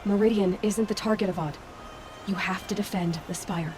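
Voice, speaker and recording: An actress playing a determined, earnest young heroine in a video game, speaking with urgency. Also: female voice, medium pitch, steady tone, normal speed, noisy background, close to an everyday voice. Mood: urgent, resolute. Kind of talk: dramatic video game dialogue.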